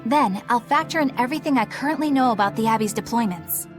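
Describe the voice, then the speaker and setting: Woman playing a high-voiced, prickly, exacting young woman. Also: expressive, fast, over music, lightly acted. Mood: methodical. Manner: formal, exact, insistent. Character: precise, earnest, methodical young woman, prickly. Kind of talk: video game acting.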